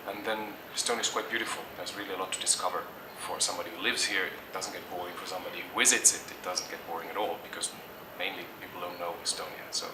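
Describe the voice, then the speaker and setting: A man's voice: low voice, fairly even intonation, fast talker, few pauses. A middle-aged man from Germany answers interview questions thoughtfully, hesitating and restarting often. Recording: echoey, distant mic, noisy background.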